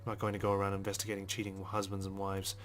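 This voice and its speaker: A man's voice: deep voice, completely flat delivery, racing, fairly bright tone, a little hiss or hum. A man reading visual novel narration aloud for a let's play in a dry, understated way.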